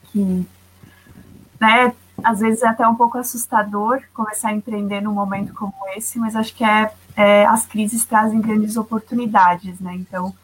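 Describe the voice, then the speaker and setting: A woman from Santa Catarina, a publicist guest, talking in a measured, careful way over a video call. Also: medium pitch, level tone, slight background noise, rough recording. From Santa Catarina, Brazil. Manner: measured, careful, with many "né" tags. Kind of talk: casual interview talk.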